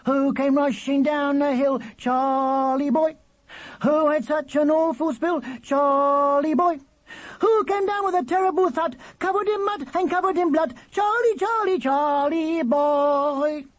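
A man voicing an excitable, eager small boy with a squeaky, piercing voice in a storybook reading. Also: moderate pace, husky, heavily acted. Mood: boisterous singing. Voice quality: shrill, squeaky. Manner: endless eager questions, proud.

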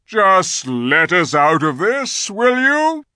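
A man voicing a great lion leader in a storybook, booming, commanding and kindly. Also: very animated, slow pace, pushed and bright, clean tone, dry recording, studio quality, heavily acted. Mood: hailing, roaring.

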